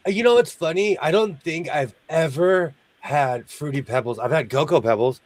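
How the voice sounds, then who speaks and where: Guy hosting a sewing stream, kinda high voice, jokey and rambly, trails off a lot. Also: normal speed, darkish tone, no echo. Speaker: sewing show host. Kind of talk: casual chat while sewing.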